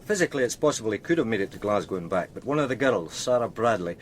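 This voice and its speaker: An actor playing a measured, deferential male detective explaining evidence to his superior in a radio drama. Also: fairly low pitch, lively intonation, a little hiss or hum, lightly acted. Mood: measured, matter-of-fact. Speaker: police officer.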